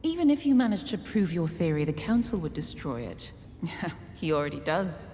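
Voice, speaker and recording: An actress playing a poised, ambitious noblewoman councillor in an animated fantasy drama, speaking in a measured, formal, silky and calculating way. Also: fairly low pitch, slight background noise. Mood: cool, probing. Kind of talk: animated drama series acting. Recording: clips with music behind the voice.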